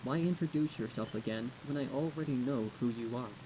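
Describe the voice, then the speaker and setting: A man voicing a blunt adult female pony guard in a toneless, deadpan way for a storybook. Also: medium pitch, fairly quick, background noise, natural voice.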